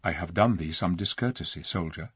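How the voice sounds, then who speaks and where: A man's voice: sits low, brisk, fairly bright tone, breathy edge, no echo, studio quality, voice pushed down, exaggerated voice. A man doing a stern, solemn noble king. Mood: grave. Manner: solemn, old-fashioned speech.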